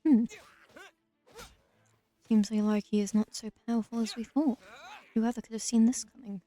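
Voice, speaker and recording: A woman's voice: fairly high pitch, fairly even intonation, moderate pace, warm tone. A woman giving casual let's play commentary as a gamer, with dry, wry asides.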